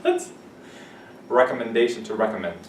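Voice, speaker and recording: A man's voice: mid-range voice, wildly swooping pitch, moderate pace, a little hiss or hum. Playful male English teacher in echoey classroom. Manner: playful, laughs often, gives example sentences. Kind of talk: classroom vocabulary lesson. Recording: echoey classroom, camera from a distance.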